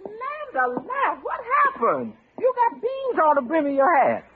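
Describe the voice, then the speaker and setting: High, swooping housekeeper on old radio. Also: female voice, sing-song, very noisy, exaggerated voice. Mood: amused, startled. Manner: exclaiming, unhurried, folksy. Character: sassy, amused female housekeeper and cook. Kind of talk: vintage radio comedy acting. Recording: vintage radio, narrow-band, studio audience.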